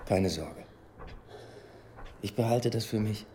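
An actor playing a discreet police detective in a radio drama, polite and evasive. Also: male voice, fairly low pitch, slow, warm tone, a little hiss or hum. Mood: discreet, reassuring. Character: discreet male police detective smoothing over an awkward encounter. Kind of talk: German radio drama acting.

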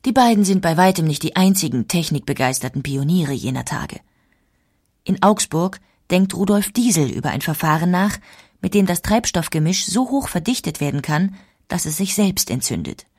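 A woman's voice: fairly low pitch, animated, normal speed, a little mellow, slightly rough. A woman narrating a scripted documentary.

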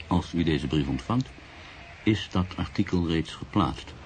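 A low-pitched, brooding newspaper writer in a radio drama. He is proud and sceptical, with measured, literary phrasing. Clear noise sits behind the voice. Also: lively intonation. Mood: measured reading. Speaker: actor. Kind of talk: radio drama acting, monologue and narration.

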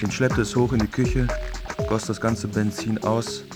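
A man's voice: on the low side, level tone, measured pace, close to an everyday voice. An actor playing a young man who narrates a bleak love tragedy in a matter-of-fact way, in a literary radio drama. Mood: grim narration. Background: music behind the voice.

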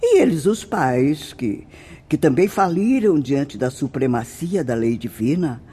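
A man's voice: a little high, lively intonation, unhurried, mellow, breathy edge. An actor with a Brazilian accent playing a solemn, fatherly spirit mentor who lectures formally and emphatically in a radio drama. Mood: grave, emphatic. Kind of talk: radio drama acting, didactic.

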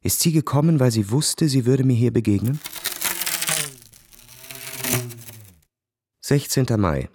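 An actor playing a proud, bored, cynical army officer who tells his story in a cool, measured, ironic way for a radio play. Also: medium pitch, lively intonation, noisy background, natural voice. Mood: brooding, confiding. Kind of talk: literary radio play narration and dialogue.

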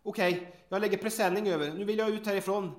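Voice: mid-range voice, fairly even intonation, close to an everyday voice. An actor playing a squeamish, complaining sidekick with gallows humour in an audio drama, wry and hesitant, in an echoey room. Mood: grumbling, wry.

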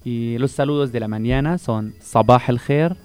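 A man's voice: medium pitch, normal speed, a little mellow, clear, resonant tone, soft background music. A man from Palestine whose first language is Arabic, a teacher and radio guest who explains greetings patiently and repeats each word for emphasis. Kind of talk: radio interview, teaching words.

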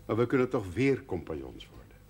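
Amiable businessman, lively intonation. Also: on the low side, brisk, slightly rough. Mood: persuasive. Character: amiable, persistent businessman, expectant father, old rival. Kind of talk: naturalistic television drama acting. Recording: faint noise, 1970s television soundtrack.

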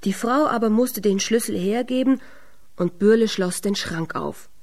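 A woman narrating a fairy tale in a radio drama, measured and expressive. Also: mid-range voice. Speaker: storyteller.

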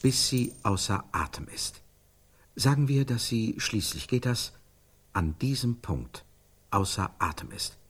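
A man's voice: medium pitch, lively intonation, a little slow, fairly bright tone, a little hiss or hum. An actor playing an intense scriptwriter who improvises a melodramatic scene in a stylised radio play, searching for the words as he narrates. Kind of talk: stylised radio drama acting.

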